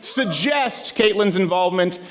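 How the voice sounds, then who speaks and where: A man's voice: high voice, lively intonation, unhurried. A middle-aged man hosting a live comedy talk show, riffing sarcastically before a crowd. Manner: sarcastic, riffing, mocking voices.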